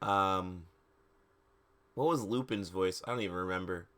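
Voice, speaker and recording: A man's voice: deep voice, a little slow, fairly bright tone, clean tone. A man hosting a livestream dramatic reading, mocking the text in incredulous, exaggerated asides. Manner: theatrical, incredulous, exaggerated emphasis. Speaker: livestream host reading fan fiction aloud.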